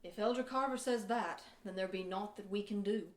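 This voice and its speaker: A woman's voice: medium pitch, normal speed, close to an everyday voice. Dutiful, aggrieved common colonist man played by a woman, honest archaic speech, amateur radio-play feel, echoey room. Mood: worried. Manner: earnest, rustic archaic speech. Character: plain-spoken, dutiful male Pilgrim passenger, aggrieved but law-abiding. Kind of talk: amateur audio theater historical drama acting.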